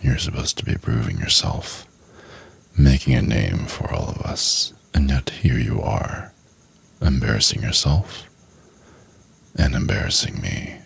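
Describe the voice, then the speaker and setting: A man playing a cold, domineering, contemptuous chief executive in an ASMR roleplay, speaking in a husky, condescending, deliberate half-whisper. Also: very deep voice, animated, dry recording, full character voice. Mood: cold contempt. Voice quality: husky, gravelly. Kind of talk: intimate ASMR roleplay, acted monologue.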